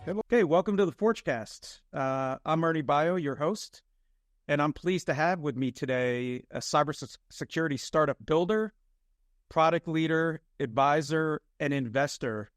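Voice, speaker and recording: A middle-aged man hosting a podcast interview in a friendly, measured way, with frequent "uh" and "um". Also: fairly high pitch, no room sound, clean studio sound. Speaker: podcast host.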